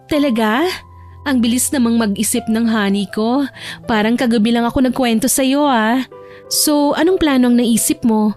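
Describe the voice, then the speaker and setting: A woman voicing a teasing, excitable young female cousin in an audio romance drama. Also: fairly high pitch, moderate pace, soft background music, close to an everyday voice. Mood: affectionate. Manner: playful, teasing.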